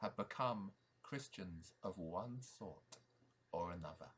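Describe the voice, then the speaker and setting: A man, a priest, tells a fairy tale in a dramatic storytelling manner with deliberate, repeated phrases. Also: low-pitched, fairly bright tone, breathy edge. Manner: dramatic, repetitive, deliberate emphasis.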